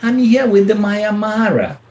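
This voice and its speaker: An actor playing a seasick, smooth-talking businessman husband in a radio play, melodramatic and whiny. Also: high-pitched, lively intonation, moderate pace, darkish tone. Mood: swooning. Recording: faint background noise.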